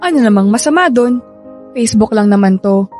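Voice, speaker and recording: A woman's voice: fairly high pitch, lively intonation, a little fast, a little mellow, faint music behind, close to an everyday voice. An actress playing a sassy, guarded young woman in a radio drama, teasing and brusque in manner. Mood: sarcastic, firm. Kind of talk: Filipino radio drama acting.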